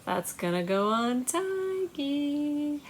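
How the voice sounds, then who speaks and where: A woman hosting a storytime for young children, speaking in a deliberate, singsong way. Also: high voice, big pitch swings, a little hiss or hum. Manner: singsong, deliberate, repetitive phrasing for small children. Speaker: children's library storytime host. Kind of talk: storytime read-aloud and simple activity for young children.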